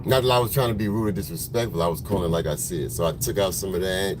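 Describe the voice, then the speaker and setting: A man's voice: low voice, racing. Laid-back man with a smoker's voice. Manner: laid-back, rambling, slangy, greets viewers by name. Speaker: photographer hosting a livestream. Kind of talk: casual livestream chat with viewers. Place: in a car in traffic. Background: faint vehicle noise.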